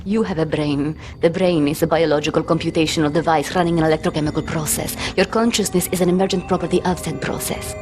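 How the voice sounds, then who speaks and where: A woman's voice: low-pitched, steady tone, fast talker, hardly any pauses, music in the background, close to an everyday voice. An actress playing an eccentric, brilliant, unstable woman in a video game, lecturing with pedantic, clinical scorn. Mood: condescending, clinical.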